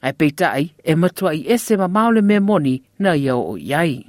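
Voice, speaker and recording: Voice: mid-range voice, sing-song, normal speed. A Samoan-accented reporter reading a scripted news narration.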